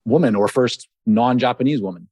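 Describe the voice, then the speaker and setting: A man hosting a podcast interview in a quick, chatty conversational manner, with Hebrew as his first language and a Canadian upbringing. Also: mid-range voice, darkish tone, dry recording, clean studio sound. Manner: quick, chatty, laughs easily. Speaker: podcast host.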